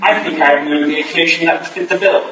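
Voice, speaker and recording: Distant and echoing, with clear noise behind, an actor plays a courteous Victorian gentleman. His voice is high for a man, his delivery dry and wry, as in a stage drama. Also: steady tone, fast, lightly acted. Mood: pleased. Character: wry, polite Victorian gentleman, exasperated brother. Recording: echoey hall from far off, clearly noisy.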